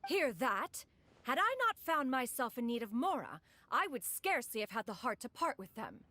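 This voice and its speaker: A woman's voice: a little high, very animated, moderate pace, sharp tone, exaggerated voice. A woman playing a proud, imperious immortal in a video game, speaking in a formal, old-fashioned and haughty manner. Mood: indignant, haughty. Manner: haughty, formal, archaic phrasing. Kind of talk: anime game voice acting. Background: game music.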